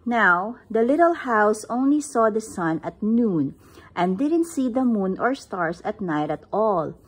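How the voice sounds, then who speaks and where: A woman's voice: medium pitch, lively intonation, unhurried, slightly rough. A woman reading a picture book aloud at home, recorded on a phone camera above the book. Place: indoors, at home.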